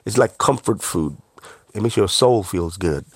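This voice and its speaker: A man's voice: low-pitched, animated, a little slow, breathy edge, no room sound. A middle-aged man who grew up in Cambodia speaking Khmer first, a chef and author talking earnestly in an interview, with many fillers. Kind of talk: interview conversation.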